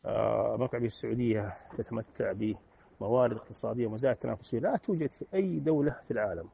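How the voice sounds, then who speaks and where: A Saudi man with a Saudi accent gives an interview guest's commentary in a husky, gravelly voice. He talks very fast and stays earnest, with frequent drawn-out "aah" fillers between phrases. The audio sounds dull and lo-fi. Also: mid-range voice, mellow. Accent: Saudi Arabic. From Saudi Arabia.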